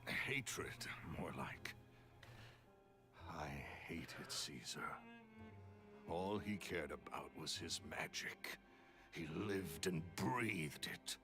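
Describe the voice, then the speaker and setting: An actor voicing a scheming, jealous male magician villain in a video game, gravelly and menacing. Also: low-pitched, expressive, drawn-out words, long pauses, music in the background, heavily acted. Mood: bitter. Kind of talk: game voice acting.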